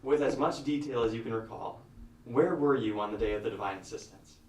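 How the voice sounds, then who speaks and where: An actor playing a polite college student who is interviewing people on camera. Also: male voice, mid-range voice, a little fast, darkish tone, echoey room, rough recording. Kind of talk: film dialogue.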